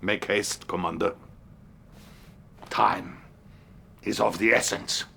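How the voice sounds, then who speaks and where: An actor playing a scheming, pompous Soviet leader in a video game cutscene, with a theatrical, deliberate delivery and a Russian accent. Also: male voice, sits low, steady tone, gravelly, sharp tone, a little hiss or hum, full character voice. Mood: urgent, commanding. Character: grandiose, scheming Soviet leader addressing his commander.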